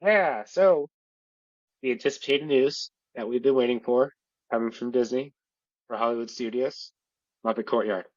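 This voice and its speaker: A podcast co-host chatting casually, agreeable and easygoing, sounding congested from a lingering illness. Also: male voice, mid-range voice, normal speed, pauses often, no echo, lo-fi sound. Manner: easygoing, agreeable, lots of fillers. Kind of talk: casual chat.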